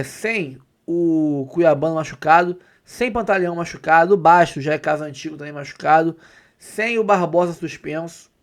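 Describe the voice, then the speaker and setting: This Brazilian man runs a football fan channel. He uses a high, lively voice and talks to his viewers with keen, emphatic enthusiasm. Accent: Brazilian Portuguese. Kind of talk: excited football commentary to viewers.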